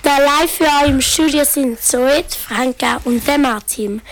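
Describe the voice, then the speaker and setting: Young woman hosting a student radio show, high voice, upbeat. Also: unhurried. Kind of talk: casual hosting.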